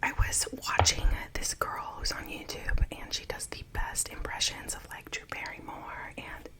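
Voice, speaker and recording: A young woman whispering through a makeup tutorial, rambling with plenty of fillers and chatting to her viewers. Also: moderate pace. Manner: chatty, self-conscious, asks viewers for comments. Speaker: beauty vlogger.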